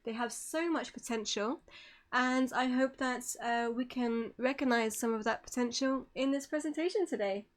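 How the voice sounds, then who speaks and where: High-voiced woman presenting online. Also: normal speed. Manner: polite, apologetic. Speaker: presenter, streamer.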